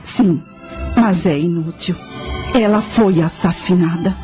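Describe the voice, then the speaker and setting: A woman playing a knowing guide who narrates ancient history in a radio drama, measured and instructive. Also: fairly low pitch, mellow, faint music behind.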